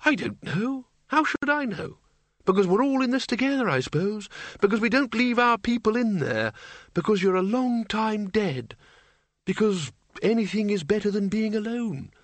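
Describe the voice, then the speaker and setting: A man's voice: sits high, big pitch swings, moderate pace, no room sound, pitched above the performer's own voice. A man voicing a frail old undead man, hesitant, querulous but kindly, as an audiobook character. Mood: flustered, earnest.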